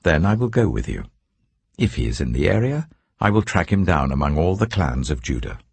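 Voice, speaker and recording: Man playing a gravelly, suspicious, commanding king. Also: rumbling low, big pitch swings, fairly quick, no echo, exaggerated voice. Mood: calculating.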